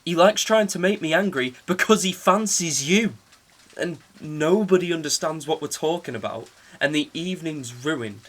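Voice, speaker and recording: A man's voice: on the high side, animated, moderate pace. Exasperated young man acting. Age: young adult. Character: exasperated, argumentative partner reluctant to socialise. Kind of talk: audio drama acting.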